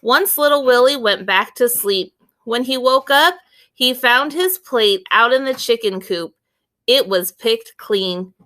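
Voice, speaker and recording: A woman reading a children's book aloud as an expressive storyteller, with deliberate, clear phrasing. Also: fairly high pitch.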